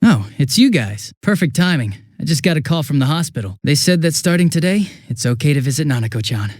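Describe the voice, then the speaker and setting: A hapless young police detective for a video game. His voice sits fairly high and swings in lively fashion, with a chatty, flustered, rambling delivery. The recording is dry and close. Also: fairly quick, no echo. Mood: concerned, gentle. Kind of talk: English video game voice acting.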